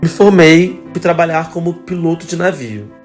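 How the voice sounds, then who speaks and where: A man in his thirties with a Carioca accent, telling his life story as a podcast guest in a relaxed, conversational way. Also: a little high, moderate pace, soft background music. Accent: Carioca Portuguese. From Rio de Janeiro, Brazil. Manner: relaxed, conversational, with fillers and trailing phrases. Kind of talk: casual personal storytelling.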